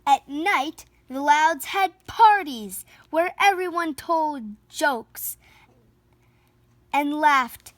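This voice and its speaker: Female storybook reader: high voice, very unhurried, theatrical, leaning into the sound words. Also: lively intonation, no echo. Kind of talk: expressive children's picture book read-aloud.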